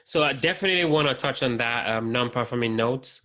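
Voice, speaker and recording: A man's voice: medium pitch, fairly quick, pushed and bright. A man with a light voice hosting an interview, asking questions in a friendly way with many fillers. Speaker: podcast host.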